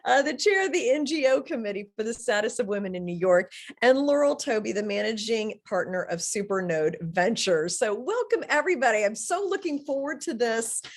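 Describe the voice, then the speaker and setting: A woman's voice: fairly high pitch, big pitch swings, fairly quick. A woman moderating a live panel webinar, upbeat and loose, with fillers and restarts.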